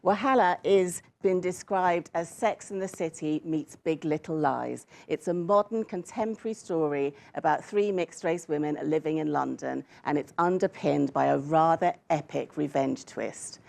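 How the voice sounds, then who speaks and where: A woman reads in a measured, expressive audiobook style. Her voice sits fairly low, with a marked creak at the edges. Music plays clearly behind her. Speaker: author. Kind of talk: scripted talk and audiobook-style reading. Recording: music bed under the voice.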